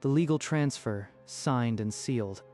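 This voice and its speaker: Medium-pitched male story narrator. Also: unhurried, warm tone. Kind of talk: scripted dramatic story narration. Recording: music behind the voice.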